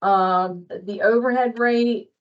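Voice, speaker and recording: A woman's voice: fairly high pitch, steady tone, takes it slowly. Woman presenter, dull lo-fi audio. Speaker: presenter on billing and invoicing at a staff training. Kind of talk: informal spoken training explanation.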